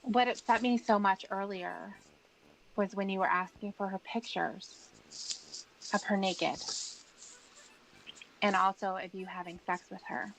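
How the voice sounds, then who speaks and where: A woman conducting a probing interview as an interrogator, measured, skeptical and pressing. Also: medium pitch, steady tone, gravelly, a bit bright. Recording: noisy room recording.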